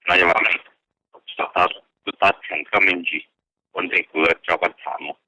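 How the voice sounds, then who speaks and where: A man's voice: sits low, fairly even intonation, moderate pace, pushed and bright, no room sound. A man speaking conversationally as a participant on a telephone conference call. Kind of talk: conversational speech on a group call. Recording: dull, lo-fi telephone conference line.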